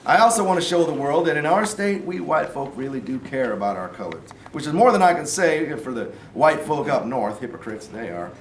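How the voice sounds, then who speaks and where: A man in a staged play reading, playing a gruff, scheming Southern man of authority with a folksy, commanding manner. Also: on the high side, expressive, a little fast, few pauses. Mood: firm, scheming. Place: live staged reading in a room. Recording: roomy, distant mic, faint noise.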